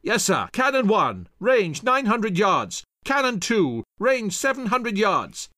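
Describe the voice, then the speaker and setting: A man voicing a dutiful artillery soldier in a war story, yelling clipped reports to his captain. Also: high-pitched, wildly swooping pitch, measured pace, no room sound, studio quality, pitched above the performer's own voice, heavily acted. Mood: dutiful, urgent.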